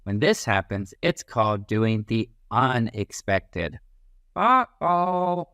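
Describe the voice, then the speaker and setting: A man reading a children's book aloud as a teacher, in an expressive, instructive way that leans on key phrases. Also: fairly low pitch, slow, clean tone, dry recording, studio quality.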